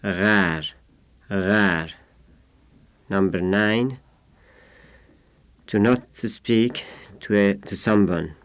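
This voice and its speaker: A man of thirty-five from Yazd with an Iranian accent, a native Persian speaker, reading out a numbered word list in a deliberate way. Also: fairly low pitch, fairly bright tone, smooth, no echo. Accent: Iranian (Yazdi). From Yazd, Iran. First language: Persian (Yazdi dialect). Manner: deliberate, isolated words with numbered glosses. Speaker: language informant.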